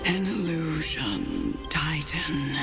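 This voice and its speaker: A woman voicing a cryptic, wrinkled old traveler in a video game, speaking in halting fragments. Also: deep voice, big pitch swings, vocal fry, heavily acted. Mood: ominous, cryptic. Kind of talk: scripted video game dialogue. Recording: music under the voice.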